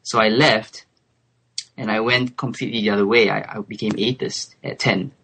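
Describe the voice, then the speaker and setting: A man in his twenties from Singapore, a guest on a remote call, speaking thoughtfully with soft laughs and hesitant fillers. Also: fairly low pitch, steady tone, moderate pace, a little hiss or hum. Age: 20s. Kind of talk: relaxed interview chat.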